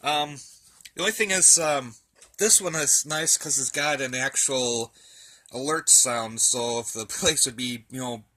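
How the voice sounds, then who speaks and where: Bright-voiced man rambling casually. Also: mid-range voice, measured pace. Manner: folksy, colloquial, thinking aloud. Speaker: hobbyist presenter. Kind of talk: casual rambling show-and-tell. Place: indoors, in a room at home.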